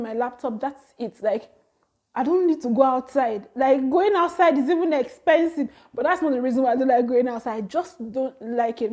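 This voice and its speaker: A Nigerian woman in her thirties talking casually to camera, chatty and quick to laugh, with animated exclamations. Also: sits high. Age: 30s. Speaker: vlogger.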